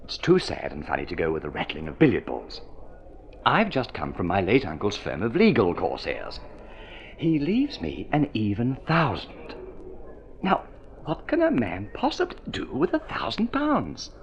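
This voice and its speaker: An actor playing a spoiled, idle young gentleman in an old radio drama, breezy and exclamatory, full of upper-class slang. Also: lowish voice, wildly swooping pitch, normal speed, creaky, a little hiss or hum, exaggerated voice. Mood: flippant, chatty. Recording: dull vintage radio recording.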